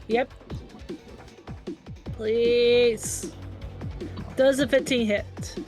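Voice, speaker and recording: Female player, high voice, quick exclamations, faint music. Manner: animated, reactive, quick exclamations. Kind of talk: casual play at the table.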